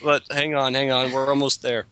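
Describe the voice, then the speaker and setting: Sarcastic, bright-voiced man reading aloud. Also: medium pitch, level tone, normal speed, slight background noise. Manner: sarcastic, incredulous. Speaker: lead reader of an amateur dramatic reading. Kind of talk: reading aloud with mocking casual commentary.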